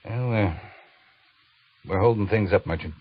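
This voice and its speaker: An actor playing a stern, exacting cavalry captain with a clipped, commanding manner in an old-time radio western. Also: male voice, on the low side, measured pace. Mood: brusque, restrained. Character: stern, exacting male cavalry captain, brusque, grudgingly fair. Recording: muffled old radio broadcast, audible hiss.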